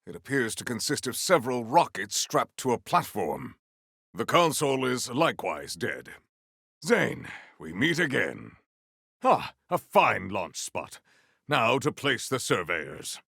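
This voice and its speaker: An actor playing a proud, honorable warrior in a video game, with formal, stately, theatrical diction. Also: male voice, mid-range voice, very animated, unhurried, gravelly, sharp tone, breathy edge, no room sound, clean studio sound, exaggerated voice. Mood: measured, curious. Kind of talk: video game character voice lines.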